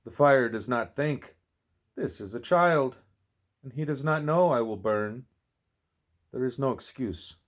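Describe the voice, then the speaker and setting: A man's voice: medium pitch, lively intonation, measured pace, long pauses. A man reading a spiritual teaching aloud like an audiobook, occasionally stumbling and repeating words. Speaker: audiobook reader.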